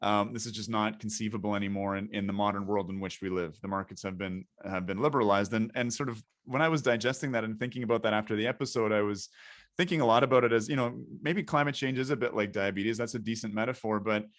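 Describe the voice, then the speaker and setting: Podcast host guy, low voice, rambles, thinks out loud, dry close mic. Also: fast, no room sound. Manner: rambling, talkative, thinks aloud. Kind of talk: podcast interview.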